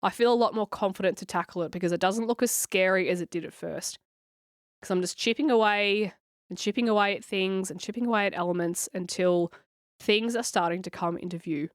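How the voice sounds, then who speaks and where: Hoarse woman, dry close voice. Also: mid-range voice, brisk, no room sound. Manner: chatty, encouraging, reassuring. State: hoarse from a cold, scratchy. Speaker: coloring channel host. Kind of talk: voice-over coloring tutorial.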